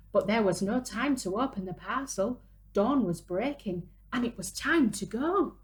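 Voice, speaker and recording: Animated Englishwoman reading slowly, very melodic. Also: medium pitch. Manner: animated, dramatic gasps and drawn-out exclamations. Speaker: children's storyteller, early years presenter. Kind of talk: expressive picture-book read-aloud for young children. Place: small room at home, indoors.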